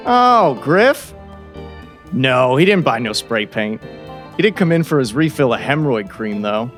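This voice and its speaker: A man's voice: medium pitch, very animated, a little slow, a bit bright. A man acting as overfriendly shopkeeper, nosy, folksy, forthright, radio drama, music behind. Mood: gossipy. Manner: overly forthright, folksy, salesman-like. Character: chatty, nosy, overfriendly small-town male shopkeeper.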